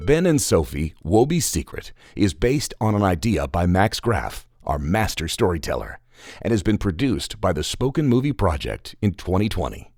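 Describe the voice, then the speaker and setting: A man's voice: deep voice, very animated, normal speed, a little mellow. A man narrating scripted stories and credits for children as a show's narrator.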